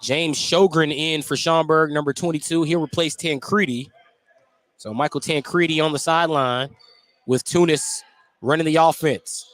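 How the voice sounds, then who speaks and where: A high-voiced man works as a radio play-by-play announcer for basketball. He follows the action in short, clipped phrases, naming each move as it happens. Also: fairly even intonation, moderate pace, a bit bright. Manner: clipped running descriptions of the action. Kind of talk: live basketball play-by-play commentary. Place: at a basketball game in a gym.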